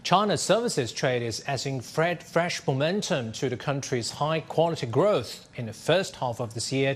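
A man anchoring a business news program, reading a formal script in a measured way. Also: a little high.

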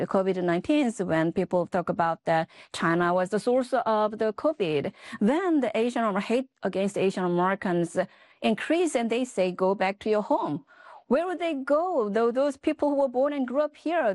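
A woman speaks as an author in an interview, explaining her ideas with care. She often pauses and adds "right?" to check in. Her voice sounds close and dry. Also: mid-range voice, animated, darkish tone, dry recording. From South Korea. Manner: thoughtful, explanatory, frequent tag questions. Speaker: author and guest. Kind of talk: interview answers.

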